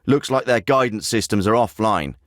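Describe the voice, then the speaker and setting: An actor playing a terse, profane special forces team leader in a video game. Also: male voice, lowish voice, animated, normal speed, a bit bright, dry recording. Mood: businesslike. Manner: terse, profane and matter-of-fact. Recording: dry studio recording.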